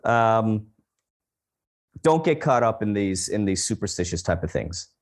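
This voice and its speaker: A man's voice: lowish voice, animated, measured pace. A man co-hosting a podcast in casual chat, speaking frankly and outspokenly. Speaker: podcast co-host.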